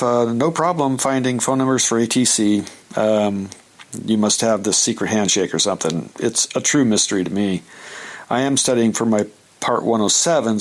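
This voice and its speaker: A man with a medium-pitched voice records a listener question. He speaks politely and somewhat haltingly, as if leaving a voice message.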